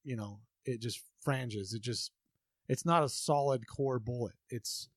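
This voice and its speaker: A man's voice: medium pitch, measured pace, dry recording, clean studio sound. A man co-hosting a casual podcast, explaining things in an easygoing way with many ums and uhs. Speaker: podcast co-host.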